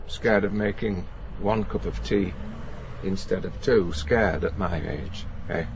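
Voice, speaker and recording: An old man, lonely and gentle, in a radio drama. His voice is low and gravelly, and he speaks in a hesitant, understated, anxious way. A faint noise sits behind him. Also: unhurried. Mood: scared, confessional. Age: older. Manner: hesitant, plain-spoken, understated. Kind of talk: naturalistic radio play acting.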